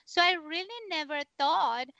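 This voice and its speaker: High-voiced Spanish-accented woman. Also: unhurried, creaky, bright, forceful tone, dry recording, lo-fi sound. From Ecuador. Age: 40s. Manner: earnest, encouraging, slightly broken English grammar. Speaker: guest author. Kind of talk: interview answers.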